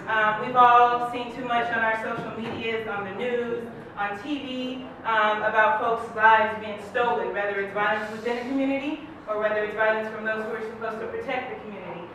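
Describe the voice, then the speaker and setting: A woman from Southern California speaking informally to a community meeting, with many "um"s and "right?" check-ins. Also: a little high. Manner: conversational, frequent "um", checks in with "right?". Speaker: community organizer presenting at a meeting. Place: indoor meeting room. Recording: very echoey room, mic far off, background noise.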